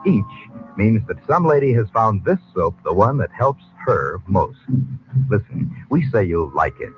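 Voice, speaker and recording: Male vintage radio announcer: deep-leaning, animated, folksy sales delivery, lo-fi recording. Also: a little slow, soft background music. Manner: folksy, persuasive. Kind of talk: 1940s radio advertising pitch.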